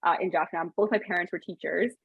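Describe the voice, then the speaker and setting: Chatty thirties woman guest, husky, dull dry recording. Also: on the low side, fairly even intonation, fast, dry recording. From Sri Lanka, raised in Canada. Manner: chatty, lots of "like" and restarts, laughs easily. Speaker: podcast guest, business founder. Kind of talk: casual interview chat.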